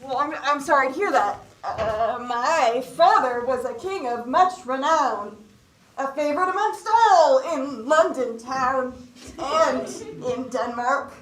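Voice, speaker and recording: A young woman playing a flustered, bewildered actor thrust unprepared into Hamlet, halting and groping grandly for lines in comic stage acting. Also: high voice, a bit bright. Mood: flustered, unsure. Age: young adult. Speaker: student actor. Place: school theatre stage. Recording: echoey stage, distant mic, faint noise.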